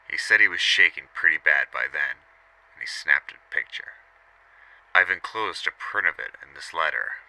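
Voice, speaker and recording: A man voicing a grown man who recounts, in a measured first-person telling, what his father saw one night when he was a boy. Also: sits low, pushed and bright, heavily acted, low-quality recording. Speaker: voice actor reading a witness letter. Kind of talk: first-person storytelling narration.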